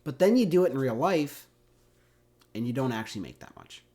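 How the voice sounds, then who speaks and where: A man with a stuffy, congested voice talks students through a chemistry lesson, his pitch sitting right in the middle. He keeps it casual and conversational, pausing to check in with an easy "okay?" along the way. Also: animated, mellow. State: congested from a cold. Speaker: chemistry teacher. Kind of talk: recorded classroom lecture.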